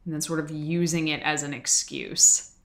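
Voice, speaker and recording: A woman co-hosting a podcast, talking in a casual, chatty way with quick asides. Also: low voice, steady tone, vocal fry, fairly bright tone. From Boston area. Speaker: podcast co-host.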